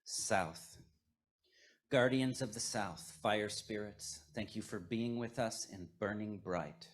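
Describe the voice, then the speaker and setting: Male ritual leader, lowish voice, unhurried solemn phrasing, ceremonial invocation. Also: slight background noise.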